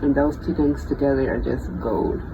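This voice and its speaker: A thirty-year-old vlogger speaks in a mid-pitched voice with plenty of fry. The talk is casual, reflective and unscripted, halting as it finds its way. The audio is dull and lo-fi, with clear vehicle-like noise behind it. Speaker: bioengineering student. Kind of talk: casual unscripted personal vlog.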